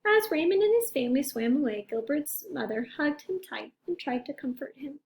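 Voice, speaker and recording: High-pitched, creaky woman reading to children. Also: moderate pace. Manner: expressive, addresses young listeners with questions. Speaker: teacher reading a picture book aloud. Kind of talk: children's read-aloud.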